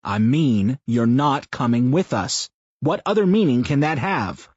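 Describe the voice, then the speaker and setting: A weary, earnest father in an audiobook, voiced by a man. He pleads softly, with a husky, lively voice. The recording is dull and dry. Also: medium pitch, measured pace, no room sound, pitched above the performer's own voice. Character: troubled, earnest middle-aged father.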